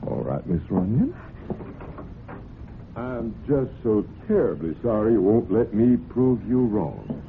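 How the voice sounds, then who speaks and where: A man's voice: lowish voice, very animated, takes it slowly, exaggerated voice. An actor playing a genial, pushy horseman, folksy and insistent, in an old-time radio drama. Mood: insistent, confident. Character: persistent, cocksure horseman, genial but pushy buyer. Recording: muffled, noisy old radio broadcast.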